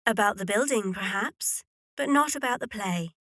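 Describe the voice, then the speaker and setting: A woman's voice: mid-range voice, expressive, moderate pace, fairly bright tone, no room sound, clean studio sound, natural voice. A woman playing a self-possessed, teasing young heiress in a period audio drama. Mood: brisk, conspiratorial.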